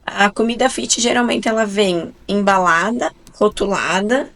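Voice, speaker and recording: A woman's voice: mid-range voice, measured pace, a bit bright. A woman around forty with an Italian-influenced southern Brazilian Portuguese accent, talking chattily and emphatically as a guest on a radio podcast. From southern Brazil, Italian-settled region. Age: 40s. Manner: chatty, emphatic, tag questions. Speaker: guest nutrition speaker. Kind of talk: casual radio podcast interview. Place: radio studio.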